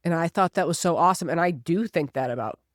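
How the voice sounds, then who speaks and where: A woman's voice: lowish voice, quick pace, dry recording, clean studio sound. A woman in her thirties speaking as a podcast co-host in casual, reflective conversation, earnest and emphatic, repeating phrases to drive points home. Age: 30s.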